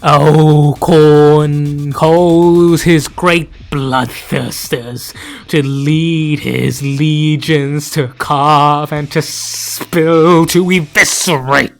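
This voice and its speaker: A narrator telling dark fantasy lore in an ominous, grandiose, drawn-out style that often sinks into hushed whispers. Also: mid-range voice, fairly even intonation, vocal fry, faint music behind. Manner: theatrical, drawn-out delivery with frequent hushed whispers. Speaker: narrator of a fantasy audio drama. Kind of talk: dramatic scripted lore narration.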